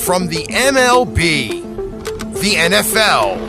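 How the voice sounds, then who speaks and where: Slow, lively ad announcer over music. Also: medium pitch. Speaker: advertisement announcer. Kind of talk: scripted promotional read. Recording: loud music bed underneath.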